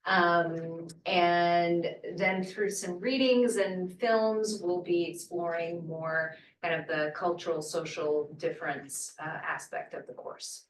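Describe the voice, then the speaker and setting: A woman's voice: on the low side, steady tone, slow pace, few pauses, a little hiss or hum. A woman from Portugal, a French professor, introducing herself at an info session in a chatty, hesitant way with fillers. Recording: echoey room, distant mic.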